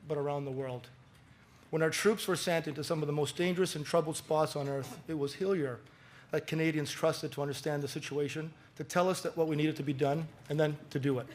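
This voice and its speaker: A man hosting an event, reading a formal prepared introduction of the guest in a measured way. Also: fairly high pitch. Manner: measured, formal delivery, rises at key phrases. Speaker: event host.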